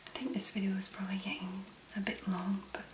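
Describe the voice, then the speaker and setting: A woman speaking very softly and breathily in a gentle, unhurried ASMR manner as she talks through a demonstration. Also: medium pitch, little pitch movement, roomy sound, very noisy. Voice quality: whispery, breathy. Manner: gentle, unhurried, murmuring to the listener. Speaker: ASMR video host. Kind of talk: soft-spoken ASMR make-up demonstration.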